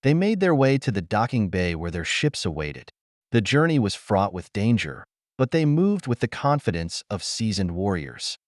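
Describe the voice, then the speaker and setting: A man's voice: lowish voice, sing-song, normal speed, no room sound, clean studio sound. A man reading a science fiction adventure story as an audiobook narrator, in a dramatic, earnest manner.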